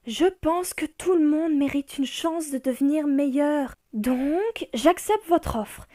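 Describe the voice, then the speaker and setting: A woman's voice: sits high, animated, takes it slowly, mellow. A woman voicing an earnest, optimistic young female princess of hell who speaks eagerly and insistently.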